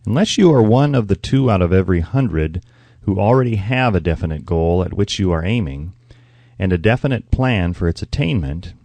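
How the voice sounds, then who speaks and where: A man reading a self-help audiobook in a measured, deliberate, instructive way. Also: fairly low pitch, darkish tone, no echo. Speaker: audiobook narrator. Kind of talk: scripted narration of a self-help book.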